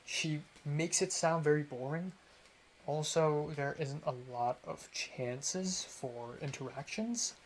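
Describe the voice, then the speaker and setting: A man's voice: on the high side, slight background noise, rough recording. A young man, a college student, telling a rambling, self-amused story in a casual vlog. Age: young adult.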